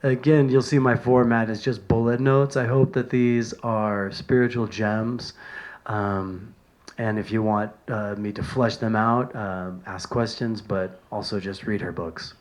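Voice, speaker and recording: A man from San Francisco talks through his notes in a low, unhurried voice. He is earnest, pauses often and fills the gaps with ums and uhs. He sounds like he is recording himself at home. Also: fairly even intonation. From San Francisco, California. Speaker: video lecturer on spiritual writings. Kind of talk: casual talk from bullet notes.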